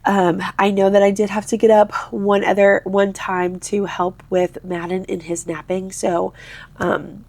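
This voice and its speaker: A young woman and mother chatting casually while she crochets, sounding congested and nasal, with many relaxed filler words. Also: mid-range voice, unhurried, gravelly. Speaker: crochet vlogger. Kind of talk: casual crochet-along chat.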